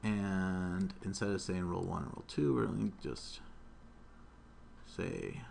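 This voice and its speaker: Male programming instructor, fairly low voice, informal step-by-step talk, slightly noisy desk mic with keyboard sounds. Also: steady tone, a little slow, creaky, a little mellow. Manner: informal step-by-step narration of own actions. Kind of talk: live coding tutorial, thinking aloud. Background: keyboard typing, room noise.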